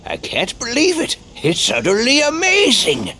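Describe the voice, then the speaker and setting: An elderly martial arts master from an anime game, lecherous and small. The voice sits high and swings widely in pitch, spoken at an unhurried pace. Faint rain noise sits behind it. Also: male voice, huge pitch swings, sharp tone, exaggerated voice. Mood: amazed. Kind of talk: English anime game voice acting.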